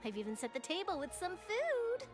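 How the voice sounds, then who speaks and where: A woman's voice: extremely high pitch, big pitch swings, moderate pace. A woman playing an eager, chatty young newspaper reporter in an anime-style video game. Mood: reassuring. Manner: exclamatory, chatty, teasing. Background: background music.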